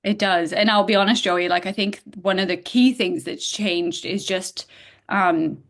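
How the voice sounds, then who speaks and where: A woman from Bulgaria, a guest in a casual interview, talking in an animated way with frequent filler words and quick laughs. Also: medium pitch.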